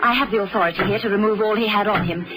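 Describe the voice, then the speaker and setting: An actress playing a poised, polite and probing investigator in a vintage radio drama. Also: female voice, mid-range voice, very fast, music in the background, natural voice, poor audio. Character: poised, inquisitive female amateur investigator.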